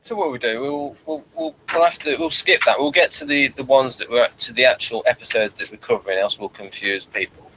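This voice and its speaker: A man's voice: mid-range voice, a little fast, hardly any pauses, sharp tone, slight background noise, poor audio. A man hosting a casual review chat, rambling and repeating phrases as he thinks, sometimes reading from notes. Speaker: review show host.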